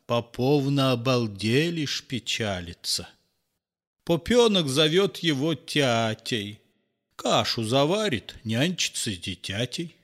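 A man narrating a verse fairy tale in an expressive, theatrical and measured way. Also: on the high side. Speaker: storyteller.

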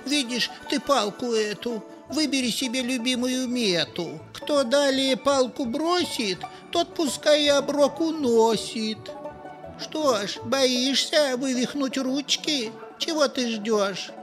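A man voicing a small, nervous, scheming male imp in a storybook reading, with a squeaky put-on voice. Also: slow pace, a bit bright, faint music behind, full character voice. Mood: wheedling.

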